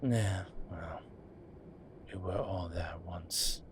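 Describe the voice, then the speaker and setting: A man playing a wry, laid-back incubus demon professor in a roleplay audio drama, talking with breathy pauses and trailing sentences. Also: deep voice, background noise. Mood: amused, teasing. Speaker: voice actor. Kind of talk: roleplay audio drama, one side of a conversation.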